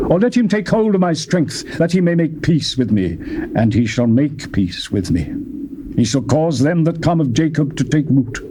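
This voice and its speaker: A man giving a solemn, declamatory dramatized scripture reading, his pitch sweeping high and low. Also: noisy background. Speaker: scripture reader.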